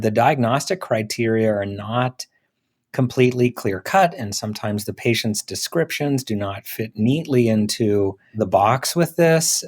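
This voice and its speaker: A man's voice: lowish voice, darkish tone. A man who is a physician hosting a medical podcast, talking conversationally with informal, affirming phrasing.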